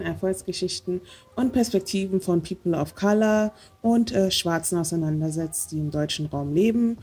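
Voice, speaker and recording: A woman's voice: medium pitch, darkish tone, breathy edge. A woman hosting a casual podcast interview, talking with many hesitant fillers. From Germany. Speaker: podcast host.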